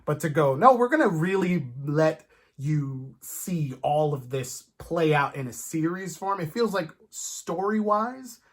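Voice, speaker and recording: A man co-hosting a fan discussion in a casual, animated way, often slipping into imagined quotes as he talks. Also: a little high, measured pace. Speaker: co-host of a fan discussion show.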